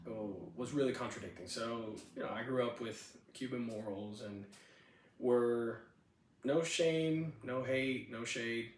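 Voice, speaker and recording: A man's voice: mid-range voice, a little slow, reverberant, distant mic, a little hiss or hum. A man in his twenties, born in Cuba, chatting casually on a home podcast with a handheld mic in a spare bedroom. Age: 20s. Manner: laid-back, chatty, frequent "you know what I'm saying". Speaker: podcast host. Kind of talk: casual personal storytelling.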